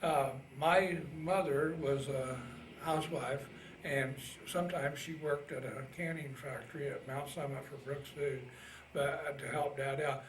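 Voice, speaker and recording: A man of about eighty from Indiana with a Midwestern American accent, recalling his past in a halting, folksy way as an interviewed Navy veteran. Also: on the high side, fairly even intonation. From Indiana, United States. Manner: halting, folksy plain speech. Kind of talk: casual oral history recollection. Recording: roomy, distant mic, faint noise.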